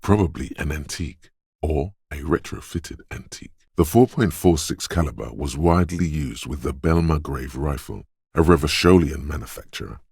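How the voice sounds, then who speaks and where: A man voicing a detective's gun-obsessed inner voice in a video game, speaking in a measured, deliberate, weighty way. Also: lively intonation, darkish tone, no echo, studio quality, heavily acted. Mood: measured, knowing. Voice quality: very deep, husky.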